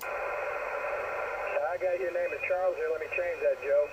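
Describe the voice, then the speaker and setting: A man operates a station over shortwave, trading short radio exchanges in phonetic spelling. His voice is slightly high, dulled by the narrow signal and buried in loud static. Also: fairly even intonation, takes it slowly. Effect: shortwave radio transmission.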